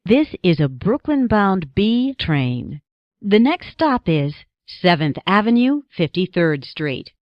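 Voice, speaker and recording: An American woman reading scripted subway announcements as an automated announcer, in measured, segmented phrases. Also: on the low side, no room sound, dull audio.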